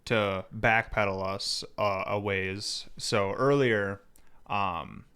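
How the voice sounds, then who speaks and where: A man's voice: fairly low pitch, steady tone, slow pace, pushed and bright, smooth. Man in his twenties, rambling host. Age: 20s. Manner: rambling, restarts, explains patiently. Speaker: podcast co-host. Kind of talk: casual chat.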